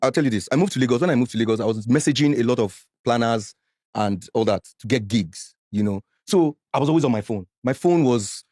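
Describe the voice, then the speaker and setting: Nigerian guy in his 40s, talks fast and gets loud n worked up, dry mic. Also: dry recording. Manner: animated, mixes Pidgin, gets loud and high when worked up. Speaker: podcast guest. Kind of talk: emotional personal story, podcast.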